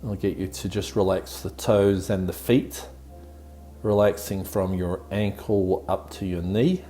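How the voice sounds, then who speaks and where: A man with a deep, mellow voice hosts a guided meditation. He gives soothing, gently encouraging instructions at a very unhurried speed. Music plays behind him. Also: steady tone. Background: background music.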